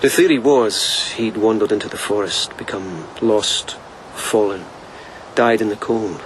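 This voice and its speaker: An actor playing a gentle, wry, devoted police lieutenant in a radio drama, speaking softly and with measured intimacy. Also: male voice, on the low side, background noise, lightly acted. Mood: sombre storytelling.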